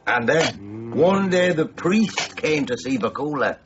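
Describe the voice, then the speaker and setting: An actor playing a world-weary villager in a tavern who tells a story in a dry tone. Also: male voice, mid-range voice, very animated, slow pace, background noise. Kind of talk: BBC radio play acting. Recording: slightly dull radio broadcast.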